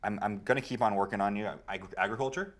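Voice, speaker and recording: A man playing a tabletop roleplaying game, chatting casually and thinking out loud as he decides. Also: lowish voice, quick pace.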